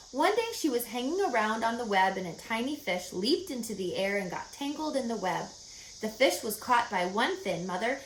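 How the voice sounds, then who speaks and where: A woman's voice: on the high side, a bit bright, pitched above the performer's own voice. A woman voicing an imaginative, earnest little farm girl who tells her storybook tales eagerly and insistently. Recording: echoey room, faint background noise.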